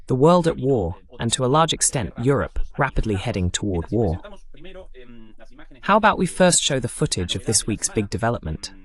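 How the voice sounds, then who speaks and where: A man hosts a current affairs talk in a measured, formal way. His voice sits at an ordinary pitch and moves up and down in a lively manner, like an announcer introducing and steering a discussion. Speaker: host. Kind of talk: scripted introduction and moderating questions, translated voice-over.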